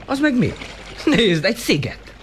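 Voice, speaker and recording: A man's voice: extremely high pitch, very animated, background noise. A male actor in a radio play voicing an exuberant, adventurous boy sailor full of enthusiasm. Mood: amazed.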